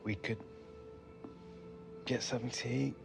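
An actor playing a modest, guarded young British footballer in a naturalistic video game drama. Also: male voice, mid-range voice, steady tone, unhurried, gravelly, over music. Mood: subdued, hurt. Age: young adult.

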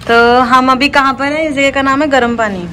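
A woman's voice: high voice, a little fast, pushed and bright. A young woman from the hills, chatting casually as a travel vlogger and teasing her companions. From Uttarakhand hills. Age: young adult. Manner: chatty, teasing, recommends places to viewers. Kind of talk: casual travel vlog chat. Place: outdoors in hills and a roadside eatery. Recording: music playing under voice.

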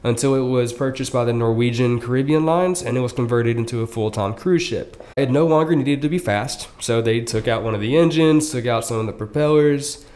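A young man co-hosting a conversational podcast, talking through facts in a relaxed, chatty way. He fills gaps with "uh" and "like" and tosses in quick asides. His voice sits at an ordinary middle pitch for a man. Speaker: podcast co-host. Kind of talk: casual explanatory chat.

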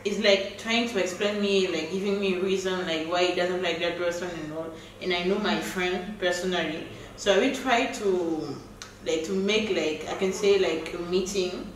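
A woman's voice: on the low side, normal speed, a little hiss or hum. A young woman, a guest speaking hesitantly with many fillers. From Gabon. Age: young adult. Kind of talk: casual group discussion. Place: living room, seated on a couch. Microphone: far camera. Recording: roomy echo from a distant mic.

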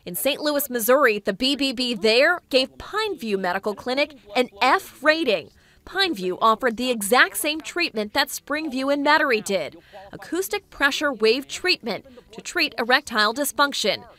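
A woman in her thirties narrating an investigative local news report in a measured, clear delivery. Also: high voice, expressive, bright, forceful tone.

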